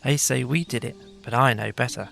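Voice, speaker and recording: A man's voice: medium pitch, brisk, vocal fry, a bit bright, soft background music. A man voicing a refined, huffy town mouse who talks in a formal, haughty, fussy way for a storybook. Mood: knowing. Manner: haughty, formal, easily put out.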